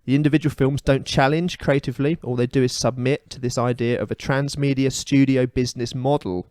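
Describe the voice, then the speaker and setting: A man's voice: medium pitch, fairly even intonation, brisk, a little mellow, dry recording, clean studio sound. A man co-hosting a podcast in casual, opinionated chat, speaking emphatically. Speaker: podcast co-host.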